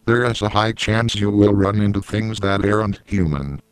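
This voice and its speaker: Male actor as emergency broadcast announcer, low-pitched, crisp, grave, impersonal, deadpan, analog horror. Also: normal speed, no room sound, close to an everyday voice.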